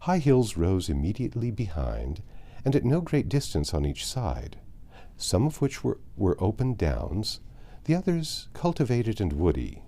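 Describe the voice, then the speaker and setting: Mellow, expressive male audiobook narrator. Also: on the low side, unhurried.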